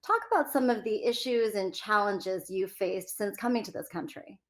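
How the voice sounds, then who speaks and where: A woman from California leads a one-on-one conversation. Her voice is medium-pitched, and her delivery is polished and articulate. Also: moderate pace. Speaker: host. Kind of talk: conversational interview.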